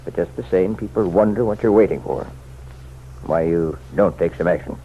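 A man's voice: low voice, normal speed. An actor in an old-time radio drama playing an insistent, folksy townsman who offers pointed, chummy advice. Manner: folksy, chummy, leaning and persuasive. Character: insistent, insinuating townsman, nosy self-appointed helper to the sheriff. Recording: muffled, noisy vintage radio recording.

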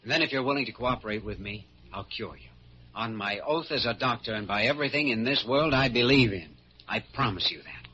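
A man's voice: medium pitch, lively intonation, fairly quick, pushed and bright. An actor playing an earnest, idealistic male doctor in an old radio drama, speaking sincerely and reassuringly. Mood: urgent, reassuring. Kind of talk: 1940s radio drama acting. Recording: dull, faintly noisy old radio recording.

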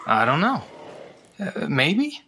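An actor in an audio drama playing a shy young male guitarist who speaks in a halting, stammering, apologetic way. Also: on the high side, wildly swooping pitch, sharp tone, a little hiss or hum, heavily acted. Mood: hesitant. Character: shy, hesitant young male guitarist, quietly obsessed with music. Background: guitar music.

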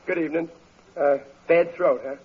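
A man's voice: fairly high pitch, steady tone, normal speed, breathy edge. An actor playing a gullible, easily exasperated middle-aged American working man in a 1940s radio comedy, with slangy, ungrammatical, blustering speech. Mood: impatient, insistent. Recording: muffled, noisy vintage radio recording.

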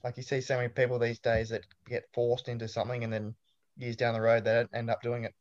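A man's voice: mid-range voice, completely flat delivery, fast talker, a little mellow, highs cut off. A young Australian man in his twenties, chatting casually as an interviewee with a laid-back, storytelling manner and dry humor. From New South Wales, Australia. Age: 20s.

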